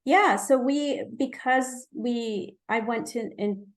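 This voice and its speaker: A woman's voice: fairly high pitch, slow. A woman from Egypt, a physician guest in an interview, talking in a searching way, often correcting herself and laughing lightly. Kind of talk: conversational interview.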